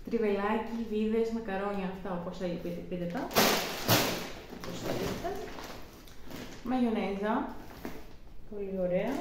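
A woman's voice: mid-range voice, heavy reverb, very noisy. A young woman chatting casually from a sofa, showing off her grocery haul item by item in a rambling, talkative way. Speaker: vlogger. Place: living room, seated on a sofa.

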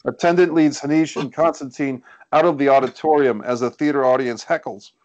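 A man's voice: mid-range voice, fairly even intonation, a little fast, a little mellow, dull audio. A man reading scripted stage directions as narrator of a screenplay table read. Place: indoors on a group video call.

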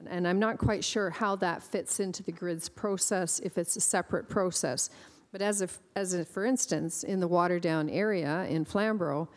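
A woman's voice: lowish voice, normal speed, hardly any pauses. Firm woman councillor in a reverberant chamber. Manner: emphatic, firm. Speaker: committee chair and councillor. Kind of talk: council meeting remarks.

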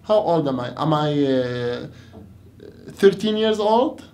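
A man in his twenties, an English teacher, doing a playful classroom dialogue in a meeting room, swinging from loud mock outrage to quiet. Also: a little high, lively intonation, takes it slowly. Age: 20s.

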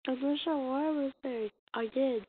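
A woman reading a comic dub as an amateur voice actor, acting out the dialogue in a halting, stumbling way on a muffled, noisy recording. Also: sits high. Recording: muffled lo-fi recording with noise and clicks.

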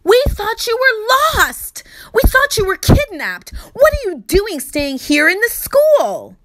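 A bossy, exasperated storybook father, acted by a woman, forceful and scolding. The delivery is lively and bright, with emphatic stretched words. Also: very high voice, slow, no room sound, pitched above the performer's own voice, full character voice. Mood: frantic yelling.

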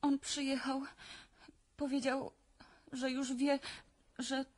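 An actress playing a haunted, well-bred young woman in a radio drama, halting, tense and breathless with fear. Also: sits high, flat delivery, a little mellow. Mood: fearful, halting. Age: young adult.

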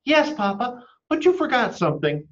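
A man voicing an eager, excitable little chicken girl who blurts and pleads like a child in a storybook. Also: very high-pitched, moderate pace, highs cut off, pitched above the performer's own voice, exaggerated voice.

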